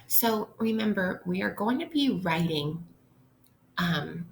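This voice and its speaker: A woman with a slightly low voice reads aloud as a teacher would to young children. She takes her time, speaking very slowly and explaining in simple, instructional language. There is faint noise behind her. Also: animated, gravelly. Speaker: elementary school teacher. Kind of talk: interactive read-aloud lesson for children.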